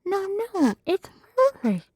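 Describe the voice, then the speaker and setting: Cheerful young princess played by a woman, high and lilting, dry close mic. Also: wildly swooping pitch, slow, a little mellow, dry recording, heavily acted.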